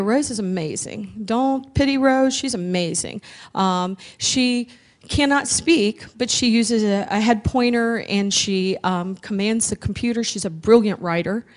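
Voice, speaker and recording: A woman founder speaking earnestly and conversationally at a conference, with frequent pauses and restarts. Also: medium pitch, darkish tone. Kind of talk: personal conference talk.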